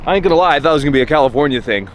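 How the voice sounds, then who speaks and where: A man in his thirties hosting a casual vlog, talking to a handheld camera outdoors and then from inside a pickup truck, joking and rambling excitedly. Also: on the high side, very fast, a crowd in the background. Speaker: vlogger, reptile-hunting channel host. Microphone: handheld camera at arm's length. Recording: noisy outdoor and road sound.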